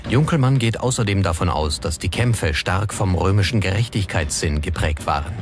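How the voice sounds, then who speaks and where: A man's voice: sits low, expressive, moderate pace. A man with a standard German accent reading scripted documentary narration. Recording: music under the voice. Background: background music.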